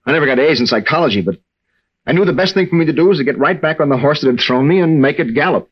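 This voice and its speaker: Young American husband, ex-navy, troubled and halting; husky voice, dull vintage sound. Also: male voice, on the high side, expressive. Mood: haunted, confessional. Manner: halting, earnest, with stammered restarts. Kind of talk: 1940s-50s radio drama acting. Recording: dull old-time radio recording.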